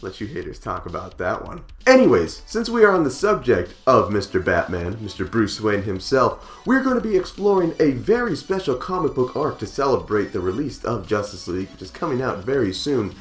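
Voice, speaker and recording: An excitable, emphatic man introducing his channel as host in an enthusiastic scripted style. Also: medium pitch, fairly quick, few pauses, faint music behind.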